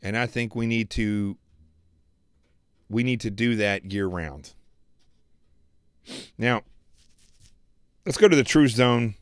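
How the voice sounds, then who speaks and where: A man hosting a political commentary livestream, preaching emphatically and repeating phrases, his voice going hoarse. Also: on the low side, takes it slowly, pauses often, no echo, clean studio sound. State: losing his voice. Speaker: show host.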